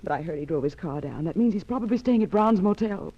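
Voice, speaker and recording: Anxious mother, dark-toned voice. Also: fairly low pitch, lively intonation, fast talker, husky. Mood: urgent, pleading. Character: anxious, insistent mother of a missing girl. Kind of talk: 1940s radio acting. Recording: dull vintage radio recording.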